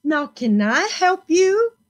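A woman's voice: extremely high pitch, big pitch swings, takes it slowly, voice pushed up, exaggerated voice. A woman voicing a kindly, huge male giant in an emotive, exclaiming storybook manner. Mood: grateful.

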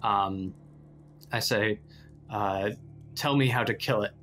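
A man improvising as a player in a tabletop game, halting and casual as he describes his actions. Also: on the low side.

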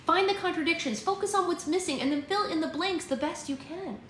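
A woman's voice: very high voice, fairly quick, pitched above the performer's own voice. A woman voicing an earnest, bookish young sister who instructs and encourages eagerly, reading a story aloud. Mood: firm, encouraging. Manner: bright-eyed, eager, instructive. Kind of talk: character voice in story read-aloud. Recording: echoey room, faint background noise.

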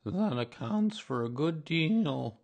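Guy doing a sad donkey from a kids story, husky, super slow n mopey, resigned to everything. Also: mid-range voice, big pitch swings, highs cut off, full character voice. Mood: gloomy, resigned. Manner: mournful, drawn-out, resigned delivery. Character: gloomy, slow, self-pitying old male donkey. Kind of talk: children's storybook character reading.

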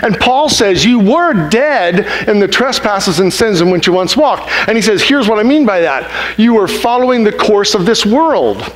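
A middle-aged male pastor with a high voice preaches from a big church stage. He talks conversationally and turns animated when he acts out people's complaints. His pace swings between quick asides and slow, weighty lines. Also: poor audio. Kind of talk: sermon. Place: large church auditorium stage.

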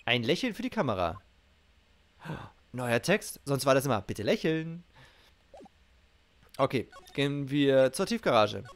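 A man's voice: medium pitch, big pitch swings, moderate pace. A middle-aged man doing let's play commentary and reading game dialogue aloud. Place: indoors at a streaming setup. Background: game music.

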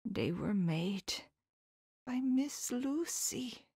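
Woman reader voicing a solemn, sorrowful old male professor for an audiobook, breathy half-whisper, slow and low, dry close sound. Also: lively intonation, no echo, exaggerated voice. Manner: old-fashioned formal phrasing. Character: elderly male professor, grave, tender and sorrowful. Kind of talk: audiobook character voice.

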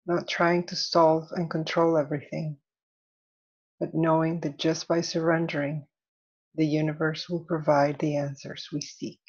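Slow, low-voiced woman guiding meditation. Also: husky, dull audio. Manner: soothing, deliberate, measured phrases with long pauses. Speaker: meditation instructor. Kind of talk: guided meditation.

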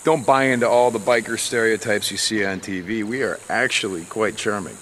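An American actor playing a gruff, wry outlaw biker in a video game, with halting, sardonic delivery. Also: male voice, on the low side, fairly bright tone, a little hiss or hum, lightly acted. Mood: dry teasing. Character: gruff, wry outlaw biker, adult man, guarded but teasing. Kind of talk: naturalistic cinematic game acting.

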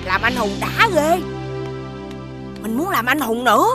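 An excitable little cartoon boy who fancies himself a hero. The voice is high and childlike, swooping widely, with clear music behind it. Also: a little slow. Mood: pleased, eager.

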